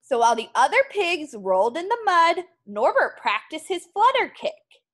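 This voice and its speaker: A woman teacher reading a picture book aloud to young children in an animated, expressive way. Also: high-pitched, normal speed.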